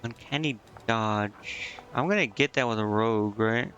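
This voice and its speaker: A man's voice: on the low side, unhurried, a bit bright, lo-fi sound, a little hiss or hum. A man giving casual live gameplay commentary, thinking aloud to himself in a hesitant, muttering way. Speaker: game streamer.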